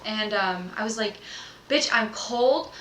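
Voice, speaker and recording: A young woman chats through a long story, packing her sentences with "like" and breaking into laughter. Her voice sits at an ordinary pitch. A faint hiss of noise runs under her. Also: lively intonation, slow. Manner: chatty, filler-heavy, laughing storyteller. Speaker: podcast guest. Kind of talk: casual storytelling chat.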